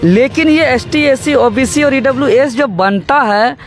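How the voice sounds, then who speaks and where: A man speaks in a strikingly high-pitched voice. He argues like an activist in an interview, laying out points methodically. His delivery is emphatic and rhetorical, leaning on repeated phrases. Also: a little slow. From Jharkhand, India. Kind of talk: political interview, speech-like argument.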